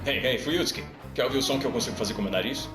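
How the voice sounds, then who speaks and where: A man voicing a deadpan, smug, childish older male commander in an anime parody. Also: mid-range voice, racing, roomy sound, music in the background, pitched below the performer's own voice, lightly acted.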